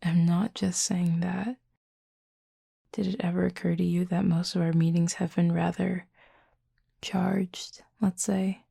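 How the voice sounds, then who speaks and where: Woman acting as a female werewolf, flirty and caring, intimate audio roleplay, heavy vocal fry, slow murmur. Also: on the low side, little pitch movement, dry recording, studio quality, full character voice. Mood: reassuring, teasing. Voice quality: breathy, husky, fry-laden murmur. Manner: hushed, unhurried, teasing yet gentle.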